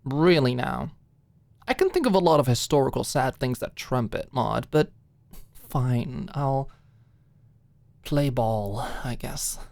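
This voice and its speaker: A woman plays a wry young woman in an audio story. She speaks in a low voice with mock-dramatic flair, covering a flustered streak. The recording is close and dry. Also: expressive, takes it slowly, vocal fry, pauses often, a little mellow, no echo, exaggerated voice.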